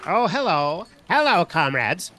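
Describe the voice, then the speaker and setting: A man's voice: high-pitched, sing-song, measured pace, sharp tone, faint music behind, exaggerated voice. A man playing a chatty, eager, party-loyal neighbour and proud father in an audio drama. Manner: busybody, boastful, jovial.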